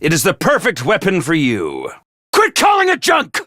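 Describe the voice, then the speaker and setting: A man voicing a small, bossy male robot commander in a video game, theatrical and self-important, prone to shouting. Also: huge pitch swings, moderate pace, no room sound, clean studio sound, heavily acted. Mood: boastful. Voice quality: squeaky, over-the-top. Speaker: voice actor. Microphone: dry studio mic.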